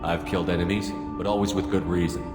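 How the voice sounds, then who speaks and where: A man's voice: sits low, steady tone, fast talker, lightly acted. A man acting a resolute, principled military commander in a video game, speaking in a firm, direct, questioning way. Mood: challenging. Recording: long reverb, music underneath.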